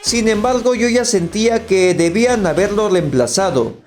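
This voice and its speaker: A man's voice: high voice, lively intonation, moderate pace, faint music behind. A man narrating an opinionated scripted review. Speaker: video critic.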